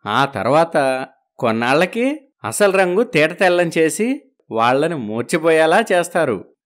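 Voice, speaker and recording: A man's voice: on the high side, sing-song, a little slow. A man playing a middle-aged father-in-law in a story, teasing and questioning in a familiar way. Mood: probing.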